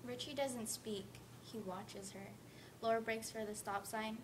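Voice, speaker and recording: Woman reading fiction aloud, steady. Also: unhurried. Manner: careful, steady narration with voiced quotes. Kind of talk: reading a novel excerpt aloud. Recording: rumbling background noise.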